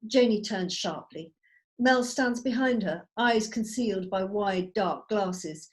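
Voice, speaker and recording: A woman narrates a screenplay, reading out scene headings and stage directions. Her voice sits at an average pitch for a woman. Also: slow pace. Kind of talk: scripted narration. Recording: video call.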